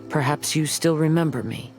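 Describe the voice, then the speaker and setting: An actor playing a calm, polite young samurai from a fallen clan, speaking reflectively in an anime game. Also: male voice, a little high, normal speed, natural voice. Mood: polite, resolved. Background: background music.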